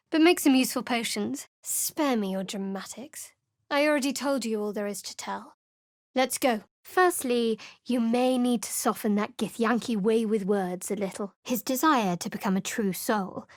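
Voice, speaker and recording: An actress gives voice to a secretive young cleric, a woman with a cool, dry wit who keeps everyone at arm's length. Her tone sits fairly high, and she delivers her lines close to the mic in a dead, dry studio. It is polished video game dialogue. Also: a little slow, dry recording, close to an everyday voice. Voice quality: cool, wry and guarded. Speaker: game character. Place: studio booth.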